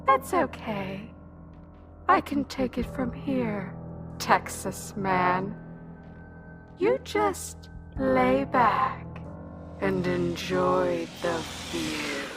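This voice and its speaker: A woman's voice: mid-range voice, big pitch swings, extremely slow, pauses often, music in the background. An actress playing an ancient serpent monster posing as a seductive woman, mocking her prey in a sing-song, mock-sweet way for an audio drama. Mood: mock-soothing.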